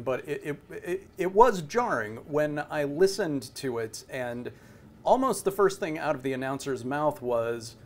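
Higher-pitched male interview host. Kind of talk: casual interview chat. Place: indoors on a group video call. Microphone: video call.

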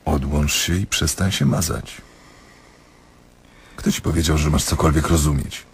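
An actor playing a diabolical male doctor in a radio drama, sardonic and domineering, drawling words for emphasis in a husky voice. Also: very deep voice, steady tone, a little slow, exaggerated voice. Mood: cold, dismissive. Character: diabolical, mocking male doctor toying with his patient.

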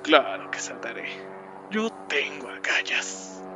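A man's voice: medium pitch, sing-song, takes it slowly, music in the background. Man playing a cocky, swooping young daredevil. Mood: boastful. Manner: loud, exaggerated bravado.

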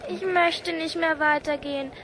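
A woman's voice: extremely high pitch, fairly even intonation, normal speed. A female performer playing a frightened, tired small grandchild in a German radio drama. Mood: scared, tired. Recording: noisy outdoor ambience.

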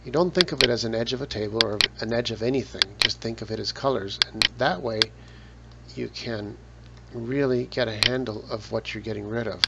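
A man talking through a photo editing tutorial step by step, relaxed, with casual asides. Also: mid-range voice, fairly quick, creaky. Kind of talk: screen-recorded software tutorial. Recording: dull lo-fi voice with loud noise.

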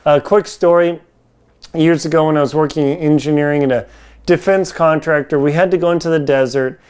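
A man in his forties with a fairly high, very clean and smooth voice talks straight to camera as a reviewer. His delivery is informal and slangy, like casual conversation. He is recorded on a lapel mic indoors in a home kitchen. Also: steady tone, a little fast, a little mellow. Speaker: product reviewer.

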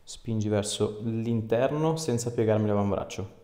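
A man in his thirties, an osteopath calmly giving short instructions and chatting with a patient during an exam. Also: fairly low pitch, normal speed. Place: small indoor clinic treatment room. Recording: echoey small treatment room.

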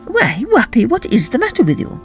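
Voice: medium pitch, huge pitch swings, soft background music, voice pushed up. A reader putting on a peevish, fussy Baroness. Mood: fussing. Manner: quick, petulant complaining. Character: fussy, irritable noblewoman, the Baroness.

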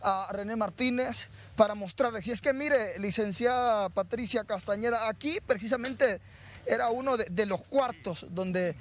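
A man's voice: high voice, moderate pace. A man with a Honduran accent reporting live on TV news from the scene of a fire, outdoors, on a handheld mic, urgent and guiding the camera. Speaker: field reporter. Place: outdoors at burned homes.

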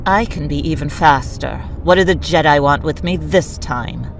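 A woman playing a guarded, remorseful former assassin in an audio drama, with halting, intense delivery. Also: sits low, a little hiss or hum. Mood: cold, menacing.

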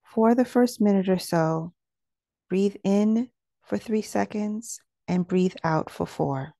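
A woman with a soft-edged, mellow voice sits slightly low in pitch, with a touch of creak. She guides a meditation in measured, soothing phrases, recorded very dry and close. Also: no room sound. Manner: slow, soothing, measured instruction.

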